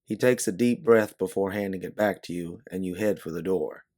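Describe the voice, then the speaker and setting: A man's voice: low-pitched, moderate pace, darkish tone. A man narrating a scripted story in the second person, as its author and storyteller.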